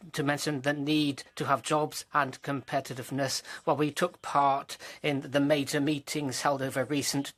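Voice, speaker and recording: Interpreter voice, middle pitch, halting delivery with many restarts. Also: fairly bright tone. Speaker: conference interpreter. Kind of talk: simultaneous interpretation.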